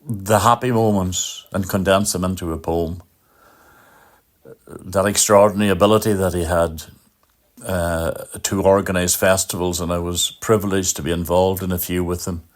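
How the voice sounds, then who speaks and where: Deep, slow-spoken Irish man. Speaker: poet. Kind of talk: spoken tribute and poetry reading.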